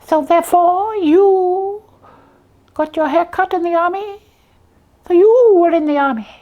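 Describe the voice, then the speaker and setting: A tiny-sounding, very high puppet sleuth in a comic puppet show. The character is proud and fussy, bossing a partner around with self-important propriety. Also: female voice, measured pace, highs cut off.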